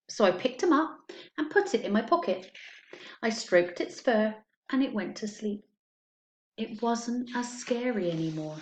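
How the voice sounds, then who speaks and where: A woman, a primary school teacher, reads a children's story aloud and talks to pupils, explaining simply and stressing words expressively. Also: medium pitch. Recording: echoey, roomy sound.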